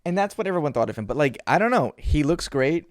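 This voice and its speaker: Animated man with a stammer, chatting casually. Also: a little high, quick pace, dry recording, studio quality. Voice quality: has a stammer. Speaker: podcast co-host. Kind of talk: casual chat.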